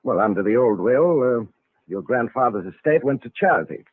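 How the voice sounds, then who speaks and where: An actor playing a solemn, suspicious estate lawyer with a formal, stiffly courteous manner in an old radio drama. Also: male voice, medium pitch, expressive, normal speed, husky. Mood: matter-of-fact. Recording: vintage radio recording, narrow phone-like band.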